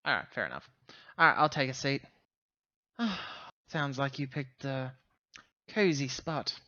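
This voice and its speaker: A man playing a roleplaying game, talking casually in character with halting, self-correcting explanations. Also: mid-range voice, expressive, pushed and bright, no room sound, highs cut off. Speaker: tabletop roleplaying player.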